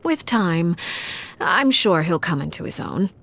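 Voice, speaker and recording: An actress playing a composed, formal woman leader in game voice lines, speaking in a measured, quiet way. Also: fairly low pitch, expressive, full character voice. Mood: calm, measured.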